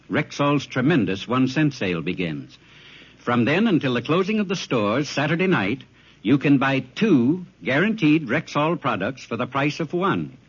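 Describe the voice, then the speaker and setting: A male announcer reads an old-time radio commercial in a husky voice with animated intonation. His delivery is formal and emphatic, built to sell. The vintage recording sounds muffled. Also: medium pitch. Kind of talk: scripted 1940s radio advertisement.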